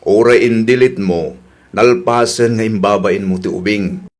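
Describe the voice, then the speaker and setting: A man's voice: lowish voice, measured pace, a little mellow, natural voice. Man acting as firm Ilocano village councilman. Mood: admonishing. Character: village councilman, patient but firmly admonishing complainers. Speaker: radio drama actor. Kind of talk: Ilocano comedy radio drama acting.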